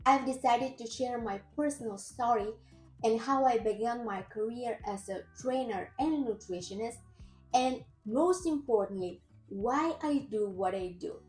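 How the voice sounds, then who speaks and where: A woman's voice: fairly high pitch, a little slow, darkish tone. A woman in her thirties from Bulgaria with a Bulgarian accent, a personal trainer and nutritionist telling her own story in an earnest, careful way. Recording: roomy echo with faint music.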